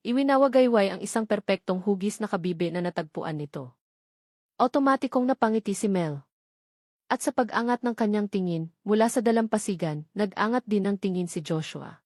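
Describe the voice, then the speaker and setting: Woman, audiobook narrator, scripted dramatic storytelling, measured phrasing, mid-range pitch, close dry recording. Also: darkish tone, no room sound.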